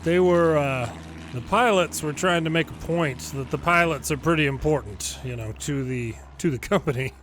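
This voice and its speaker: A man's voice: fairly high pitch, moderate pace, a bit bright, a little hiss or hum. An American man giving casual, folksy gameplay commentary and explanations as a former controller hosting a gaming video.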